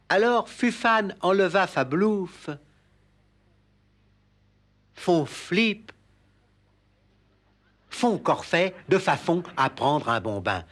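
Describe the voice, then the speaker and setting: A man's voice: high voice, exaggerated voice. A man playing a pompous, overdramatic poetry reader with a lisp-like impediment, in a comic recital. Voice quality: muddled sibilants, lisp-like impediment.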